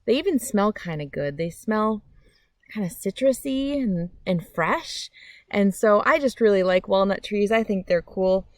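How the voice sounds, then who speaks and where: Female storybook reader, friendly children's host, very animated intonation, dry sound. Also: medium pitch, a little slow, dry recording. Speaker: read-aloud host. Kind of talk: children's storybook read-aloud with casual chat. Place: outdoors by a meadow.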